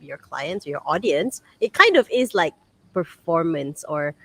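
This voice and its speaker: A woman's voice: deep voice, lively intonation, a little slow, pushed and bright, husky. A woman with a Malaysian English accent hosting a casual livestream interview, friendly and talkative, with many fillers. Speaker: livestream host.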